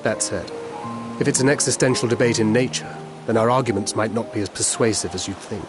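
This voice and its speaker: An actor playing a worldly, philosophical man in a video game, articulate, wry and quietly resolute. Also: on the low side, brisk, lightly acted. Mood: reflective, grave. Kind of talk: video game cutscene acting. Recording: music playing behind the voice.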